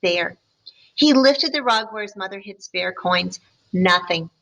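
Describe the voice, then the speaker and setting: Bright-voiced teacher reading to kids. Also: female voice, mid-range voice, animated, measured pace, vocal fry, rough recording. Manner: friendly, explaining to children between passages. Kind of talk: reading a picture book aloud to students.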